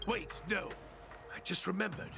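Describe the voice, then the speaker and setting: High-pitched, creaky, fussy artisan man. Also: moderate pace, over music. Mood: defensive, fretful. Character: fussy male master artisan, defensive and put-upon. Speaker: game character. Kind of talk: video game voice acting.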